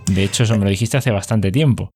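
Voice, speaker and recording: A man's voice: fairly low pitch, fast, a little mellow, slightly rough, soft background music. Chatty man with a Spain Spanish accent. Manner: chatty, explains at length with many fillers. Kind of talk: casual conversation.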